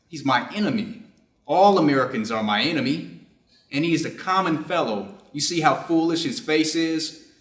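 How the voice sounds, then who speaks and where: A middle-aged Japanese surgeon, read by a man in a staged story. He speaks formally and deliberately, reflective and clinical yet conflicted. The room adds a clear echo around the voice. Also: fairly high pitch, lively intonation, close to an everyday voice. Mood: torn, cold. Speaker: reader's theater performer. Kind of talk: staged reading of a short story, measured delivery. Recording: echoey room.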